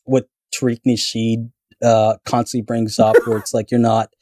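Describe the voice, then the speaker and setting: A man's voice: medium pitch, flat delivery, moderate pace, warm tone, no room sound. A man born in Canada telling stories in a casual podcast chat, rambling with many fillers and restarts. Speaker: guest storyteller.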